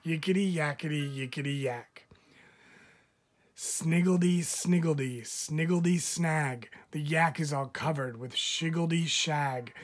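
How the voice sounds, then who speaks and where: A man, a teacher, reading children's animal poems aloud in a measured, expressive storytelling manner. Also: a little high.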